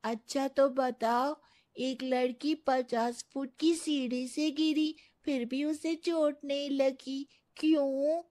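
A woman voicing a small, whiny, cheeky boy in an animated story. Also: high voice, takes it slowly, pitched above the performer's own voice.

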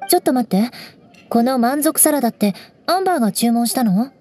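A woman's voice: on the high side, expressive, a little fast, warm tone. A woman playing a proud, prickly young noblewoman knight in an anime game, composed and haughty. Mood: haughty, miffed. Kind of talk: Japanese anime game voice acting.

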